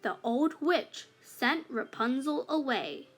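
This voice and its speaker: Slow, high-pitched woman reading a fairytale. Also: expressive, fairly bright tone. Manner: slow, deliberate phrasing for young learners. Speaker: children's storybook narrator. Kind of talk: scripted read-aloud fairytale narration.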